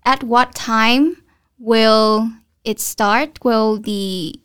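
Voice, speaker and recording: A woman's voice: a little high, very slow, fairly bright tone, clear, resonant tone. A twenty-year-old woman co-hosting a student podcast, asking questions hesitantly and murmuring agreement. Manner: hesitant, frequent affirming murmurs. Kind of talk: casual chat.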